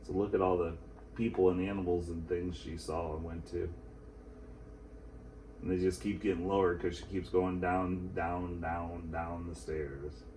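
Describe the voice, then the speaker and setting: A man, a teacher, reading a children's picture book aloud in an expressive storytelling style. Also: sits low, a little slow, a little hiss or hum, poor audio.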